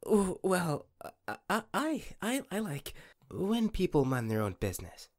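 A woman's voice: on the low side, sing-song, a little slow, darkish tone, exaggerated voice. A woman voicing a grumpy, fed-up teenage boy in a comic dub, blunt and muttering. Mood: annoyed.

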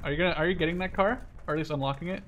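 Casual man gaming amid loud gunfire. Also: on the high side, rapid-fire, noisy background. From Canada. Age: 20s. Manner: casual, exclamatory, swearing. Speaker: gamer. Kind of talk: casual gameplay chat. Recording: game gunfire behind the voice. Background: video game gunshots and vehicles.

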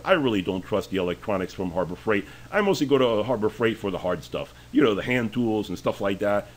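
Man with lively pitch reviewing deals. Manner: opinionated, conversational, with frequent fillers. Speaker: deal review host. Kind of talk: screen-share sale walkthrough.

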